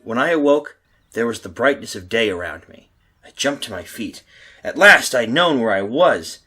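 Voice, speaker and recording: An actor playing a tormented lost soul in an old-style radio drama, husky, halting and melodramatic. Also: male voice, mid-range voice, lively intonation, bright, forceful tone. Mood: panicked, bewildered. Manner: melodramatic, halting, repeating himself. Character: tormented lost soul, once a family man. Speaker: radio drama lead narrator. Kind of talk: 1930s radio drama acting.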